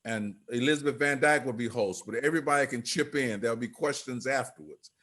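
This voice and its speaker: An older man giving informal remarks on a group video call in a halting, rambling way. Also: mid-range voice, a bit bright. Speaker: theatre company leader.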